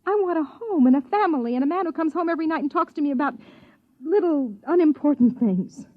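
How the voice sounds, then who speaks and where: An actress playing a lonely, anxious young wife in 1950s radio drama style, dramatic and deliberate. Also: female voice, sits high, expressive. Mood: bitter, firm. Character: lonely, anxious young wife narrating her own story. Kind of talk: 1950s radio drama, second-person narration. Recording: dull old radio recording.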